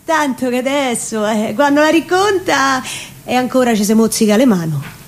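A woman with a Roman accent gives a theatrical recitation of dialect verse, telling it deliberately with drawn-out phrases. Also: high voice, animated, soft background music. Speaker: reciting performer.

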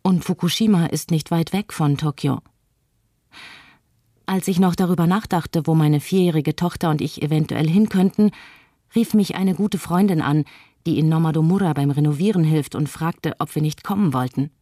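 A low, mellow female voice, recorded dry, plays a frightened young mother acted by a woman. She gives a calm, reflective testimony as a radio play monologue. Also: moderate pace, dry recording. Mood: subdued, reflective. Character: frightened young mother recalling fleeing with her daughter.

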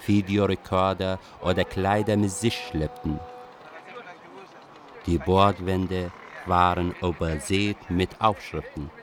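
A young Iraqi refugee recalling his life for a radio drama. The voice is deep, delivering literary first-person narration with music underneath.

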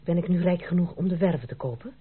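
A proud young noblewoman in love in a radio drama. She speaks in earnest, formal phrases with a slightly husky tone. The old recording is muffled and narrow. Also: fairly low pitch, fast. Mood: earnest, composed. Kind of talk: Dutch radio play acting.

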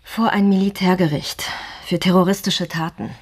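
Very husky, grave woman client. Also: lowish voice, steady tone, normal speed, a little mellow. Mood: grave, urgent. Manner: terse, serious. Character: grave, determined Armenian woman client seeking help. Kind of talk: noir radio drama acting.

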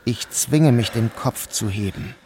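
A man giving a measured, subdued first-person narration of a novel. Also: medium pitch, background noise.

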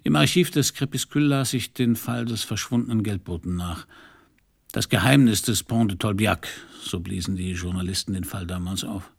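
An actor playing a brooding, hard-drinking detective with a gravelly voice and a dry, sardonic manner in a noir radio drama. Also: male voice, lowish voice, moderate pace.

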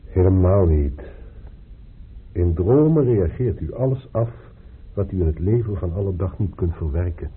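An actor in a radio drama playing a calm, reasoning hospital doctor, measured, polite and gently instructive. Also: male voice, low-pitched, husky, exaggerated voice. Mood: calmly advising. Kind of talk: Dutch radio drama acting. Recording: muffled, phone-like old radio play recording.

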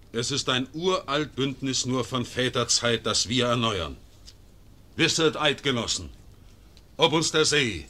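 Male actor as a fervent mountain leader in a radio play; bright, piercing, declamatory. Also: medium pitch. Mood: solemn. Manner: declamatory, rising to fervent oratory. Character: stern male confederate leader, rousing orator. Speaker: Swiss confederate leader addressing the assembly. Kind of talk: classic German radio play acting.

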